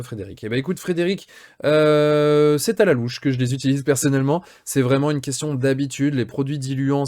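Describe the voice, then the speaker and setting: A man's voice: mid-range voice, a little mellow, dry recording, clean studio sound. A man in his late thirties with a French accent, a hobby channel host casually reading and answering viewer questions, chatty and full of fillers. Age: 30s. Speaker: miniature painting channel host.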